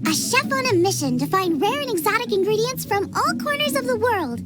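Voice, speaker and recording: Very high-pitched, excitable young chef. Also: female voice, a little fast. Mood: bright, friendly. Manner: bubbly, eager, expressive. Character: excitable, cheerful young female chef with boundless enthusiasm. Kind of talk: video game voice acting. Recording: game music behind.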